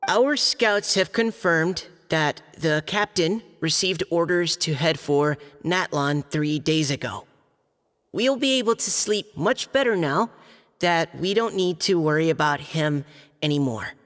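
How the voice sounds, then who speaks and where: Shy young knight, slow-paced. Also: male voice, fairly high pitch, lively intonation, fairly bright tone. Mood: formal, measured. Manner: hesitant, polite, careful recitation. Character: shy, earnest young male knight reading aloud a letter. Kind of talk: video game voice acting. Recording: long hall reverb. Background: music.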